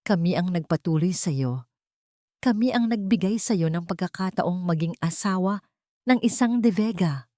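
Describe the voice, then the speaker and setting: A woman's voice: on the low side, lively intonation, moderate pace, dark tone, dry recording, studio quality, lightly acted. A woman voicing a haughty, wealthy old matriarch, indignant and accusing, in an audiobook drama. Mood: outraged.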